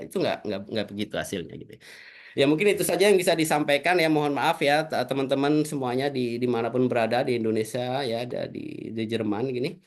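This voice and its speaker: A man giving an invited talk on a video call, chatty, with many small tag fillers. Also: mid-range voice. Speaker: invited speaker. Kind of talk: casual talk in a webinar.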